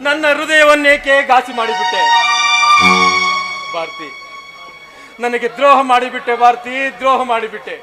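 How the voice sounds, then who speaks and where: A lovesick young man, as a man plays him pours out a poetic, melodramatic lament in a very high voice. Loud background music runs under him throughout. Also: moderate pace, pauses often. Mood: reproachful. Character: heartbroken young man pining for lost love. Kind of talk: audio drama acting.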